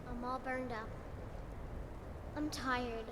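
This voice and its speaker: A woman's voice: a little high, steady tone, takes it slowly. A woman voicing the childlike ghost of a lonely, playful little girl in a fantasy video game, with a ghostly reverb. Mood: innocent, weary. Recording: long echoing reverb, background game noise.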